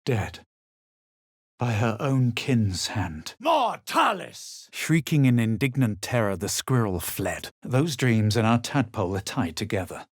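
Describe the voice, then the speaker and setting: Casting: a superior, theatrical adventurer in a fantasy game; husky, very expressive pitch, dramatic and self-assured. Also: male voice, unhurried, no echo, clean studio sound. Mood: commanding incantation. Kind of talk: video game voice lines, narration and spell calls.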